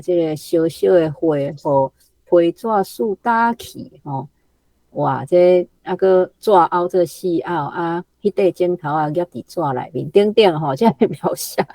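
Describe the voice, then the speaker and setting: Lowish-voiced, exclamatory woman. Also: darkish tone, no echo. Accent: Taiwanese. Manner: exclamatory, reactive. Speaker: guest commenting on a reading. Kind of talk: casual book discussion.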